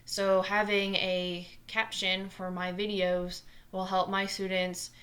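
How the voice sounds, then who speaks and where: A woman in her twenties with a New Jersey accent, a math teacher talking fast and earnestly through a scripted lesson video. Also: medium pitch, completely flat delivery, fairly bright tone. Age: 20s.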